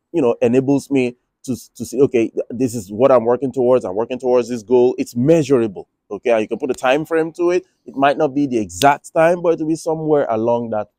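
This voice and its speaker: A man's voice: mid-range voice, a little fast, mellow, dry recording. A man with a Nigerian accent, talking casually about his money and trading, rambling with frequent restarts. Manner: rambling, many restarts and fillers. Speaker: finance vlogger. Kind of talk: casual monologue. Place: Republic of Ireland.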